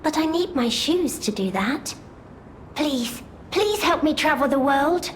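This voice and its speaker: A childlike doll girl for a video game. She speaks in a very high voice at an unhurried, slow pace. Her manner is innocent and pleading, with a wistful longing, over faint background noise. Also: animated. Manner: speaks of herself by name, pleading, naive. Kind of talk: scripted video game character acting.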